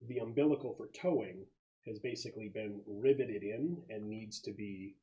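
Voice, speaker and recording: Low-pitched male game master, halting and descriptive, improvising tabletop narration. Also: mellow, lo-fi sound.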